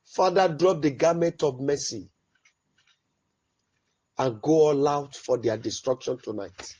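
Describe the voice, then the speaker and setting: A man leading an impassioned, pleading prayer, swinging between loud cries and low murmurs. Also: measured pace, breathy edge, lo-fi sound. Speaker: prayer leader. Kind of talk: fervent spoken prayer.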